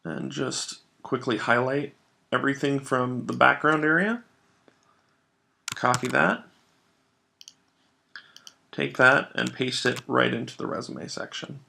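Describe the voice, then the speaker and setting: Man with very creaky voice, walking through steps. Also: medium pitch, slow pace, long pauses, pushed and bright, slight background noise. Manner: explanatory, step-by-step, informal. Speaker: software trainer. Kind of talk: screen-share tutorial narration, instructional.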